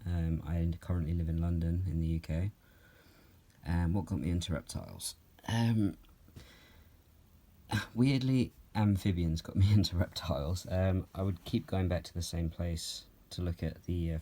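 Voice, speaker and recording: Mellow low-voiced Norwegian-born man, unhurried. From Norway. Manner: calm, unhurried, frequent ums and soft laughs. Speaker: reptile keeper answering viewer questions. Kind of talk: relaxed Q&A vlog. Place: at home, handling snakes while filming.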